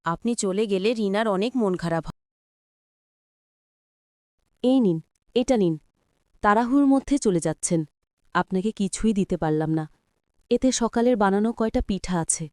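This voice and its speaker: A woman's voice: mid-range voice, lively intonation, normal speed, pauses often, a little mellow, no echo, studio quality, natural voice. A woman voicing a caring, hospitable village homemaker and mother in a cartoon. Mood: concerned. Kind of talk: Bengali moral cartoon voice acting.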